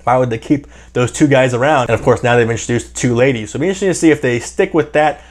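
A man in his thirties, hosting a fast food channel, casually talks through a ranking chart, filling his pauses with many "uh"s and "um"s. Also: mid-range voice. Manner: chatty, many "uh" and "um" fillers, thinking aloud. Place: indoors, in front of a green screen.

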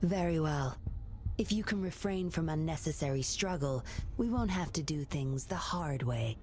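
A woman's voice: sits low, lively intonation, moderate pace, a little mellow. An actress playing a cunning young woman double agent, teasing and coolly commanding. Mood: sly.

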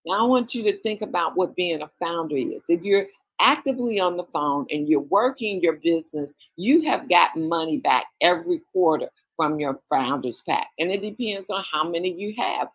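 A husky-voiced woman with a lowish pitch speaks on a video call to her sales team. She coaches with urgency, firing off question after question. The tone is motivational. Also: lively intonation, moderate pace, low-quality recording. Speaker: sales leader addressing a team.

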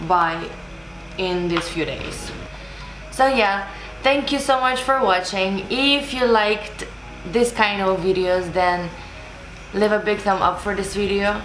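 A woman in her twenties who is not a native English speaker, chatting casually to the camera as a vlogger in a room. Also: lowish voice. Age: 20s. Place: small room indoors, seated at desk. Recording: roomy echo under background music. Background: background music.

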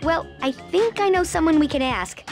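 A woman playing a clever, determined young bandicoot, brisk and matter-of-fact, in a cartoon video game. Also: extremely high pitch, animated, soft background music.

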